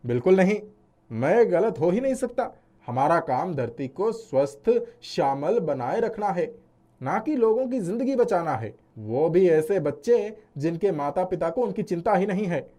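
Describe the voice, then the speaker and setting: A performer acts out a proud, stubborn male cloud from a fairy-tale cartoon. The voice swoops widely in pitch and sounds pompous and sure that it is always right. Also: animated, a little slow, warm tone, lightly acted. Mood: arrogant. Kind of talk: animated storybook character acting.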